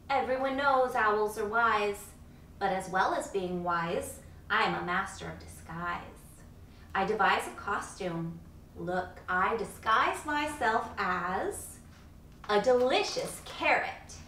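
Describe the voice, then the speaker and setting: Boastful storybook owl, played by a woman: melodramatic, grandly slow delivery, roomy recording. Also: mid-range voice, animated, bright, forceful tone. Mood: grandly menacing. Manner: theatrical, pompous, drawn-out emphasis. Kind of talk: picture-book storytelling. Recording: roomy, distant mic, faint background noise.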